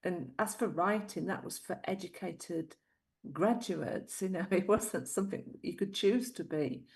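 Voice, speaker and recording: A woman's voice: fairly low pitch, a little slow, a little mellow. A middle-aged woman with a Yorkshire accent, a guest telling her life story thoughtfully and laughing often. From Yorkshire, England. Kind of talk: interview storytelling.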